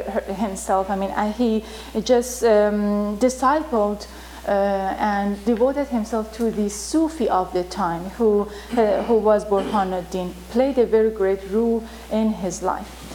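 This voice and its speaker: Woman from Iran, halting storyteller. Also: on the high side, mellow, slight background noise, rough recording. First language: Turkish. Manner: halting, many fillers, vivid retelling with acted quotes. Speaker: storyteller teaching about Rumi. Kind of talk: informal talk.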